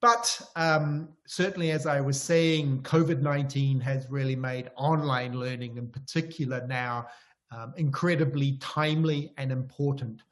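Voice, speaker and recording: A man's voice: medium pitch, steady tone, unhurried, a bit bright. A middle-aged or older man with a New Zealand accent giving a conversational webinar lecture as an invited professor. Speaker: professor, invited keynote speaker.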